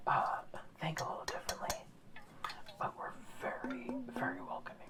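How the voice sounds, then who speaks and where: A woman voicing an old, kindly male orca guardian in an ASMR roleplay, speaking in a soft, slow, reassuring whisper. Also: sits high, lively intonation. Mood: gentle whisper.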